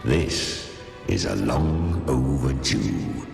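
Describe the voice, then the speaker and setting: Huge gravelly demon monster for a game, super deep, drenched in echo, proud and menacing. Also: male voice, lively intonation, drawn-out words, a little mellow, heavily acted. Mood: menacing, hungry. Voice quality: gravelly, growling. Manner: archaic, menacing, grandiose. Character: ancient demonic devouring beast, predatory and proud. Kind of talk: dramatic game voice acting. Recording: cavernous reverb with faint music.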